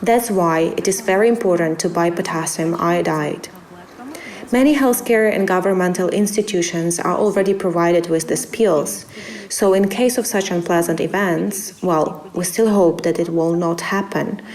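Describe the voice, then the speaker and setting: A woman's voice: fairly low pitch, normal speed, a little mellow. A woman reading a translated expert interview as a voice-over.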